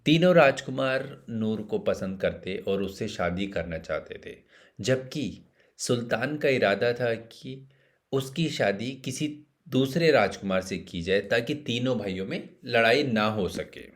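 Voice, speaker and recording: A man narrating a scripted bedtime story in a measured way, pausing between phrases. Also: medium pitch, lively intonation.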